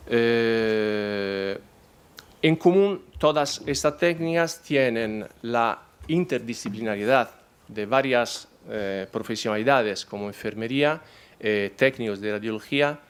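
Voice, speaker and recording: Non-native male presenter, mid-pitched, halting delivery with many restarts. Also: smooth. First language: not Spanish. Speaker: conference presenter. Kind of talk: reading a technical talk.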